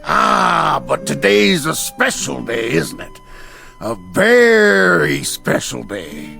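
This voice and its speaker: A gleefully cruel frontier peddler in a radio drama. His voice is high and very gravelly, swooping through slow, sing-song taunts like a salesman enjoying torment. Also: big pitch swings, sharp tone, faint music behind, exaggerated voice. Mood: gloating, taunting. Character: loud, gleefully cruel peddler in a Western town. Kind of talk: old-time radio drama acting.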